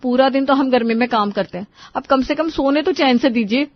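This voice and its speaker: Woman acting a fed-up young daughter-in-law, highish voice. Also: fairly quick, no echo, dull audio. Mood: complaining. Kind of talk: acted dialogue in a cartoon story.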